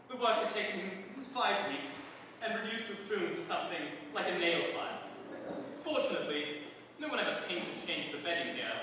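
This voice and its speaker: A man with a fairly high voice narrates a comic novel in a theatrical way. He is deliberate, leaning on dramatic pauses. He sounds far from the microphone in a very echoey, noisy hall. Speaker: reader performing for a live audience. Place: large reverberant room with an audience.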